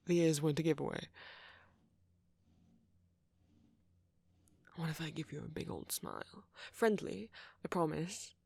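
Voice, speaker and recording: Man playing a husky, breathy, friendly flirty vampire. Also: a little high, big pitch swings, a little fast, no echo, heavily acted. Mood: playful, flirty. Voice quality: breathy, husky, often dropping to a whisper. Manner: gentle, hesitant, teasing, with soft chuckles and endearments. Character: friendly, flirty, apologetic male vampire, solicitous and talkative. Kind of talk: ASMR roleplay, soft intimate acting.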